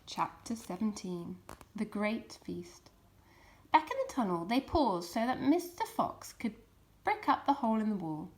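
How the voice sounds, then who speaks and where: A woman narrating a children's storybook in an expressive reading style. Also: medium pitch, measured pace. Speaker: storybook reader.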